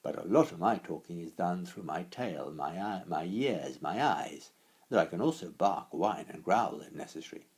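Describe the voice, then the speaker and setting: A man's voice: low voice, animated, normal speed, a little mellow. A man voicing a friendly, affectionate female talking dog in a storybook, chatty, polite and self-assured. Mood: confident, proud.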